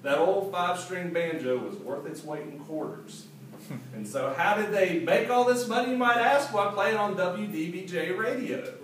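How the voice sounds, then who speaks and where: A man in his forties, an author reading from his own book to an audience in a school hall, telling it in a lively, humorous way with asides. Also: sits high, moderate pace. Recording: echoey hall, distant mic, faint hiss.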